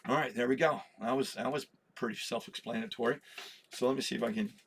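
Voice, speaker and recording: A slightly hoarse man hosting a comic book vlog, chatty and self-deprecating, laughing at his own slips. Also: mid-range voice, fast talker, background noise. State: a little hoarse. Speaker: comic collector host. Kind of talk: rambling casual vlog.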